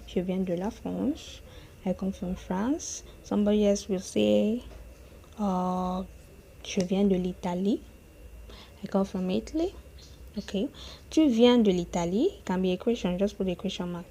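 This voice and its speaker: A woman teaching a beginner French lesson, saying each phrase slowly and more than once and checking in with "okay?" and "hmm?". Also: medium pitch, mellow, slight background noise.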